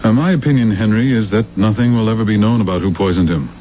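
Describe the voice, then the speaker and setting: An actor playing a loyal, practical and skeptical friend with a measured conversational manner in a 1970s radio drama. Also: male voice, lowish voice, close to an everyday voice. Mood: matter-of-fact. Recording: tinny, hissy old radio recording with hum.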